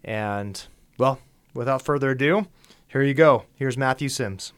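An upbeat man in his forties hosts a casual podcast conversation with an easy, friendly flow. He talks in a loose, chatty way and is quick to joke about himself. His pitch sits in a typical middle range. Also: a bit bright, clean tone. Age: 40s. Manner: upbeat, chatty, self-deprecating. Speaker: podcast host. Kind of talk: casual interview chat.

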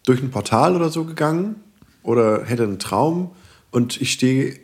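A man's voice: mid-range voice, lively intonation, normal speed, a little mellow. A man, a guest in casual podcast banter, talking in a playful, wry, colloquial way.

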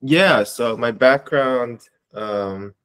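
A man in his twenties with an American accent, answering interview questions casually in a hesitant, halting way with many pauses. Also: mid-range voice, fairly bright tone, clean tone. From Southern California, United States. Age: 20s. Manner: hesitant, halting, pausing often between short phrases. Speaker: interviewee, community advocate.

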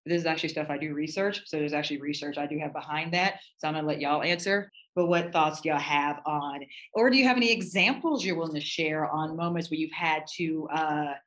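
A speaker moderating a casual discussion, encouraging and animated, laughing easily. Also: medium pitch, a little fast, hardly any pauses.

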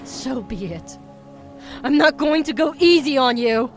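A woman's voice: high voice, lively intonation, a little slow, faint music behind. A woman playing a fierce, protective, vengeful mermaid sister in an audio drama, with an imperious, threatening delivery. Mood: furious.

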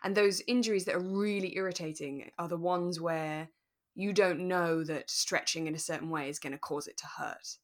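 A woman co-hosting a podcast in relaxed, conversational style, talking thoughtfully and a little tentatively. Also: lowish voice, moderate pace. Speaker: podcast co-host.

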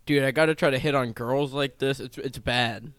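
A twenty-year-old man with a pronounced lisp, chatting casually and swearing freely as a podcast host, in an animated, self-deprecating way. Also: mid-range voice, normal speed, smooth, dry recording. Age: 20, turning 21 soon. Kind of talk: casual chat.

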